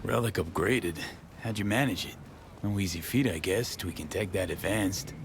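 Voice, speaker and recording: A man's voice: deep voice, moderate pace, a loud crowd. A man playing a guarded, street-smart mercenary in a video game, terse and blunt, with casual slangy speech. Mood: guarded, curious. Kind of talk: cinematic game acting, casual slangy dialogue.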